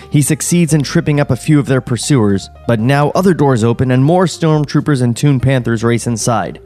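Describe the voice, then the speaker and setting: Man narrating a screenplay, mid-pitched voice. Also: darkish tone. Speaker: podcast script narrator. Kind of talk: reading screenplay directions, casual commentary. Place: podcast studio.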